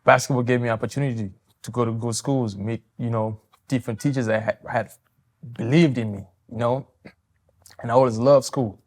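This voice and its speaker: A man in his twenties from Tanzania, answering interview questions politely, softly and with loose, hesitant phrasing. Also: mid-range voice, steady tone, brisk. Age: 20s.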